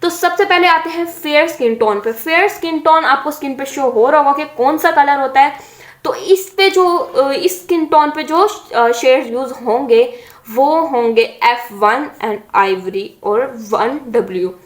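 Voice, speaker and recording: A young woman talks through a makeup tutorial in a high, bright voice. She is chatty and explanatory, pausing with small hesitations. The room around her is echoey. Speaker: beauty vlogger.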